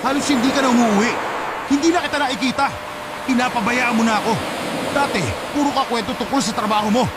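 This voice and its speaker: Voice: mid-range voice, heavy background noise, natural voice. A voice actor playing a suspicious, jealous husband firing accusing questions in a radio drama. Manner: accusing, rapid-fire questions.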